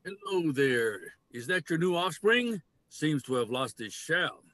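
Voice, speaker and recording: An older man playing a genial, unhurried, teasing male walrus in a play reading. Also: on the high side, expressive, bright, forceful tone.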